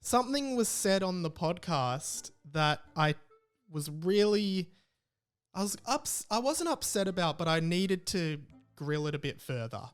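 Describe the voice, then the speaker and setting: A man's voice: high voice, big pitch swings, unhurried, clear, resonant tone, faint music behind. A man with an Australian accent hosting a podcast in casual, informal chat with a mock-aggrieved way of talking. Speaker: podcast host.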